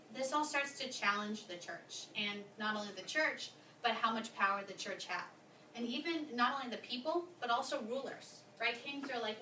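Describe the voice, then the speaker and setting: Brisk woman teacher in an echoey classroom. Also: on the high side, pushed and bright. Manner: brisk, prompting students with questions. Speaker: history teacher. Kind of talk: classroom lesson with discussion. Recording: echoey classroom, distant mic, noisy.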